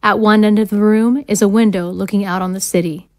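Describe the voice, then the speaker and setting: A woman's voice: mid-range voice, steady tone, a little fast. A woman reading scene directions as scripted narration for an audio drama.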